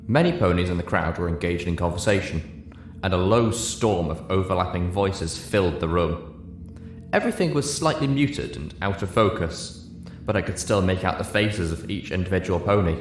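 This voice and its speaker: A man's voice: deep voice, a little hiss or hum. A man reading a first-person story aloud as scripted narration. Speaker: reader of fan fiction show.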